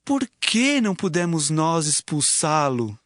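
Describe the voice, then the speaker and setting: A man's voice: on the high side, very animated, a little slow, a bit bright, no echo, clean studio sound. A man playing an earnest, deferential disciple in a dramatized scripture audio. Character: earnest male disciple addressing his master.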